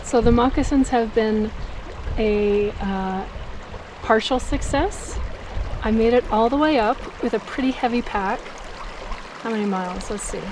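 A middle-aged woman chatting casually to her camera while hiking, relaxed and laughing often, recorded outdoors on a small action camera. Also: fairly high pitch, a little slow. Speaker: vlogger. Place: outdoors by a mountain lake and on a trail. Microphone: action camera, handheld or at arm's length. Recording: outdoor camera audio with stream and wind noise.

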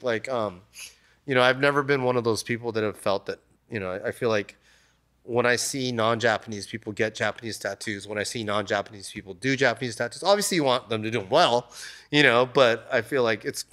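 A man in his thirties, a tattoo artist guest, talking casually and loosely, with lots of "like" and "you know", on a desk mic in a shop. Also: on the low side. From United States. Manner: relaxed, rambling, many "like" and "you know" fillers. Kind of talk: casual interview talk. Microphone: desk mic on stand.

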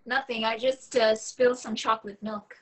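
A woman's voice: a little high, fairly even intonation, moderate pace, natural voice. A young woman playing a wary online date in a natural script read over a video call. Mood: awkward, playful. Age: young adult. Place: small room at home, indoors.

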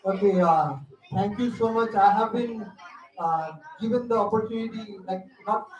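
A man's voice: high-pitched, moderate pace, highs cut off. A middle-aged male teacher speaks hesitantly and modestly into a handheld mic on a school auditorium stage, restarting his sentences often. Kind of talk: impromptu remarks at a school event. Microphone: handheld mic, recorded from a far camera. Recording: echoey hall from far off. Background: audience murmur.

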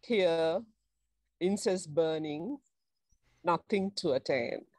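A middle-aged person reading short verses in an unhurried way through an earphone mic on a video call. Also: mid-range voice, a little mellow. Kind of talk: unhurried poetry recital. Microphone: wired earphones on a video call.

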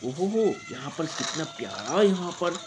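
A man's voice: fairly high pitch, sing-song, normal speed, noisy background. An Indian man improvising play-by-play narration of toy play for children in an exclamatory way, with frequent wows and interjections. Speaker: presenter of toy play videos.

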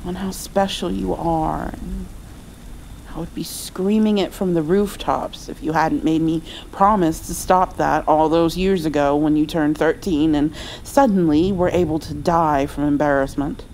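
A woman playing a doting, playful mother comforting her grown child in an intimate ASMR roleplay, softly and affectionately. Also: sits low, steady tone, a little hiss or hum, low-quality recording. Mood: tender, reassuring. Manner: soft, affectionate, slow with gentle laughs.

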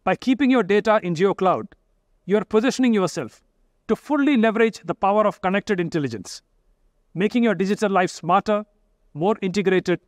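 A man with an Indian English accent giving a steady, formal product presentation from behind a lectern. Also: high voice, a little fast, dry recording, studio quality. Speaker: corporate presenter. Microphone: lectern microphone.

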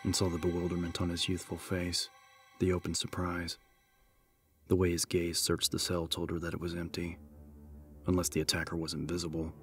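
A man narrating an audiobook in a measured, solemn, scripted dramatic style. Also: deep voice, completely flat delivery, faint music behind.

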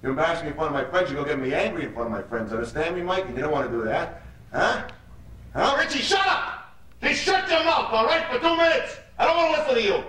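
An actor playing a menacing, mocking street gang leader in a theatrical monologue, badgering and repeating himself. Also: male voice, a little high, animated, racing, a bit bright. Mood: threatening. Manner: badgering, repetitive, punctuates lines with "huh?". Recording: echoey room, distant mic, noisy.

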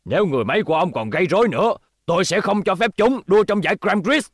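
Stern old professor, highish pitch. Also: male voice, expressive, quick pace, no echo, clean studio sound. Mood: stern, scolding. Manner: stern, emphatic, explanatory. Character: exasperated, authoritative elderly male robotics professor. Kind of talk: cartoon dubbing.

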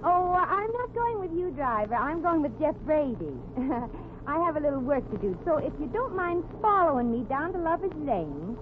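A woman's voice: high-pitched, very animated, moderate pace, few pauses. Brassy, swooping 1940s radio showgirl. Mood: brisk, determined. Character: brassy, flirtatious, quick-witted showgirl. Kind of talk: 1940s radio comedy acting. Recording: muffled, noisy 1940s radio broadcast recording.

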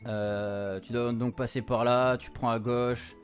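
Male, young adult, mid-pitched game streamer; informal, slangy commentary with English words mixed in, light music underneath. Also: flat delivery, slow. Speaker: streamer playing a visual novel. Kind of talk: casual gameplay commentary with on-the-fly translation.

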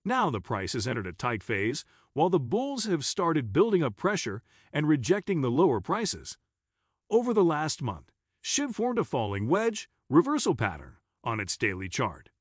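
A man with a fairly high voice narrates a scripted explainer. His pitch swings up and down in a very animated way. The tone is husky, and the recording is close and dry. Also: moderate pace, no room sound.

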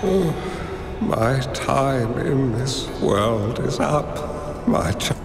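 Game cutscene: wise, gentle old hermit master; gravelly, unhurried, with a long echo. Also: male voice, mid-range voice, lively intonation, full character voice. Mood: solemn. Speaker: mentor character. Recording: roomy reverb under game music.

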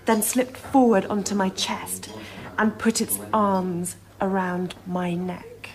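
An actress playing a composed, well-read production researcher in a naturalistic radio drama, matter-of-fact and obliging. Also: female voice, medium pitch, level tone, takes it slowly, rough recording. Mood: hushed storytelling. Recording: ambient noise on location.

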